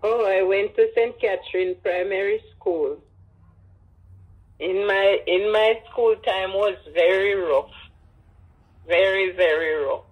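Husky, slow-talking elderly Jamaican woman. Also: medium pitch, dull audio. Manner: emphatic repetition, Creole phrasing. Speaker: interviewee, grandmother. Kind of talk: personal storytelling in an interview.

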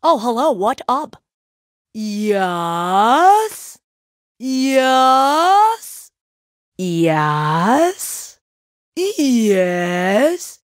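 A woman's voice: fairly high pitch, big pitch swings, very slow, dry recording, studio quality, exaggerated voice. A woman voicing a brash, playful, laid-back young female gem warrior in a cartoon video game, with a cheeky, slangy, exclamatory delivery. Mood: easygoing. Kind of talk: cartoon video game voice acting, short barks.

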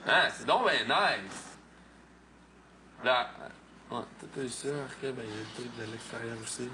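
A man's voice: mid-range voice, expressive, measured pace. Quebec man joking on a noisy mic. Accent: Quebec French. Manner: joking, slangy banter. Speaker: reality show contestant. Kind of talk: casual chat. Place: house living room, indoors. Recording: noisy hidden-camera room audio.

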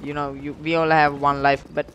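A man's voice: fairly high pitch, flat delivery, moderate pace, a bit bright, a little hiss or hum. A young man casually telling a story while commentating on a game, rambling in slang with heavy swearing. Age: young adult. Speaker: gaming video creator telling stories over gameplay.

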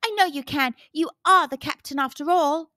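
Woman voicing a high, bold young girl penguin. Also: moderate pace, dry recording, clean studio sound. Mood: encouraging. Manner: excitable, encouraging. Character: bold, cheerful young female penguin explorer.

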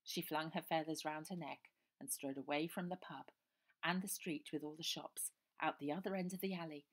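Older woman reading a storybook, low voice. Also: normal speed. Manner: expressive and lively. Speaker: author reading her novel. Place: small room indoors.

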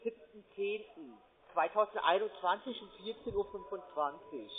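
High-voiced salesman on a tinny phone. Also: moderate pace. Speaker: call centre sales agent. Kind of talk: cold sales call. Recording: tinny narrowband phone line.

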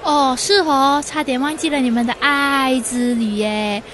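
Radio drama part: female student sidekick woman actor, high voice, Malaysian Chinese accent, colloquial and mock-complaining, light background noise. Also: a little slow, fairly bright tone. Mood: chatty, teasing. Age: young adult. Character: chatty, teasing young female student, loyal best friend.

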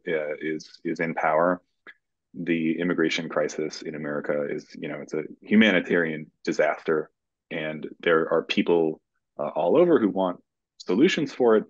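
Male interview guest, very low voice, halting conversational delivery, dry and slightly muffled video-call sound. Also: dry recording. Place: indoor room, video call. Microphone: video call microphone.

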